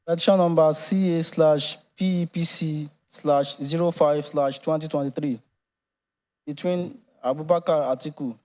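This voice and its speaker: Mellow Nigerian man, dull courtroom audio. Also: a little high, steady tone, moderate pace. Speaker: court registrar calling cases. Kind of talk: formal reading aloud in court.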